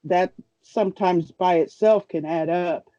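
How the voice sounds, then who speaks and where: A man's voice: high-pitched, steady tone, unhurried, mellow, no echo. A man co-hosting a podcast in casual chat, recorded with dull, lo-fi sound. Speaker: podcast co-host. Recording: dull lo-fi audio.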